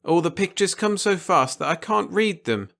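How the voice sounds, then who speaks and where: A man's voice: high voice, expressive, normal speed, gravelly, a bit bright, voice pushed up, heavily acted. A man voicing an innocent, trusting little boy in a storybook reading, earnest and naive in simple words. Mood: earnest, straining.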